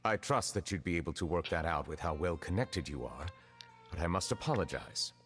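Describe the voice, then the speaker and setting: A stern, aloof chief justice, formal and measured. His voice is very low and solemn, with faint game music underneath. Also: animated. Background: soft game music.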